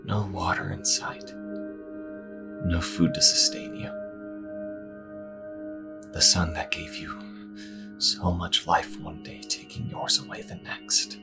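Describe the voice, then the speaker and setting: A man voicing an ominous, solemn storyteller, speaking in a slow, breathy half-whisper as dramatic narration. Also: sits low, little pitch movement, music in the background, full character voice. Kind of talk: scripted second-person dramatic narration.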